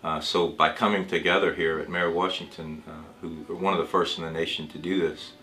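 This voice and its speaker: Man acting as a deep-voiced, measured doctor, roomy sound. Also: flat delivery, pushed and bright. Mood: earnest, explanatory. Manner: measured, hesitant, explanatory. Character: reassuring male physician talking with a cancer patient. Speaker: doctor in a training dramatization. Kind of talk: role-played consultation, semi-improvised dialogue. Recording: roomy echo with music underneath.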